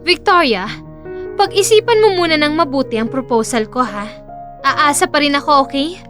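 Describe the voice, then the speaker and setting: A woman's voice: sits high, lively intonation, moderate pace, fairly bright tone, soft background music. A woman playing a proud young businesswoman, a dismayed and pleading cousin in an audio drama.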